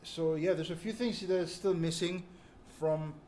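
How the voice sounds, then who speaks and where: A man's voice: a little high, normal speed, darkish tone. A man talking casually as a musician hosting a vlog, rambling and often repeating or correcting himself. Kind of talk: casual storytelling and drum kit tour. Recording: clear background noise and room ambience.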